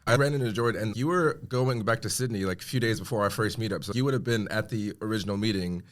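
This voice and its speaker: A man's voice: fairly low pitch, fast. An American man co-hosting a podcast, chatty and joking with playful asides. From United States. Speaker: podcast co-host. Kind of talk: casual podcast chat.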